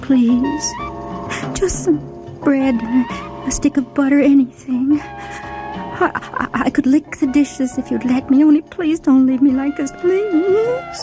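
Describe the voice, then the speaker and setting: Woman voicing a shrill, sniveling, starving young woman. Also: slow pace, over music, poor audio. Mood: pleading, desperate. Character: desperate, starving, very fat young woman, weakly begging.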